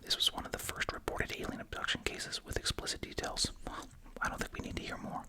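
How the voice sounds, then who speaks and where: An ASMR host whispering close to the mic while chewing gum, reading aloud with casual, musing asides. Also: fast. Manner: informal, musing asides in a hushed voice. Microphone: close ASMR microphone.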